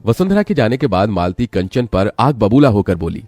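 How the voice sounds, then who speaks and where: A man's voice: lowish voice, sing-song, moderate pace, darkish tone, no echo, clean studio sound. A man narrating a scripted story as its storyteller.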